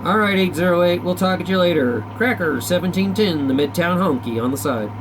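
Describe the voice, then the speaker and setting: Male CB hobbyist, slangy and rambling, slightly high pitch, casual chat, noticeably noisy recording. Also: a little fast. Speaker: CB radio operator. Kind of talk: casual chat over CB radio. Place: back-room radio shack.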